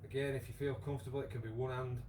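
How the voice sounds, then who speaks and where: A man with a croaky voice, leading a live online exercise class with many fillers. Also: medium pitch, level tone, racing, darkish tone, a little hiss or hum. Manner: chatty, encouraging, lots of fillers. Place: home room with wall and bar. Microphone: device camera, moves away at times.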